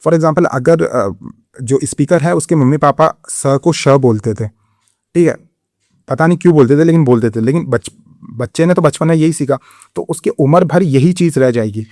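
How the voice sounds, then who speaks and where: Indian male teacher, dark dry voice. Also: on the high side, moderate pace, no room sound. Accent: Indian English. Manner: explanatory, repeats "theek hai" to check understanding. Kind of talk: exam-prep lecture.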